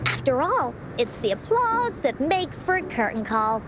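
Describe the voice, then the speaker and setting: A woman voicing a cheerful, excitable little girl adventurer in a video game, playful and bubbly. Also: high-pitched, unhurried, noisy background. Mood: bubbly, confident. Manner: refers to self in third person, bubbly. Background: music.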